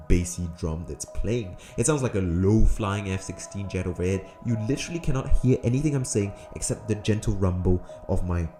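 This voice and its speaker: A man with a booming, low, slightly hoarse voice reviews a USB microphone in a casual, dryly sarcastic way, tired late at night. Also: mellow, reverberant. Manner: dry, sarcastic humor. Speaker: tech reviewer. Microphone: USB condenser microphone close-up.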